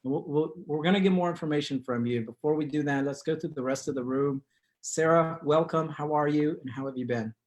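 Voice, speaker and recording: A man hosting an online community talk show from his living room on a video call, speaking in a formal, measured way. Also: fairly high pitch. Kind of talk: scripted-sounding show introduction and interviewing.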